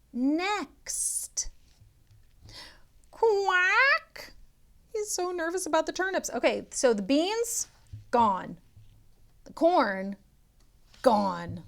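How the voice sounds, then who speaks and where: A woman tutor reading a picture book aloud to children, expressively and instructively, drawing out some words. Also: sits high.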